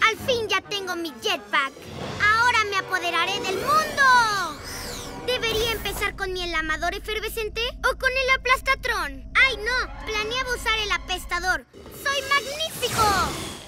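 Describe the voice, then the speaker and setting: An actor voicing an excitable, bold cartoon child in Latin American Spanish. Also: very high-pitched, a little slow. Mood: scheming, boastful. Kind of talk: animated cartoon dub acting. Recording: loud music behind.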